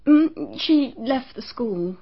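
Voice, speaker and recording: Prim, guarded schoolteacher. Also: female voice, high voice, expressive, slow pace, breathy edge, full character voice. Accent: British English. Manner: clipped, guarded, rather prim. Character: prim, nervous woman schoolteacher witness. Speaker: teacher questioned by a detective. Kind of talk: BBC radio drama acting. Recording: dull, muffled archive radio broadcast.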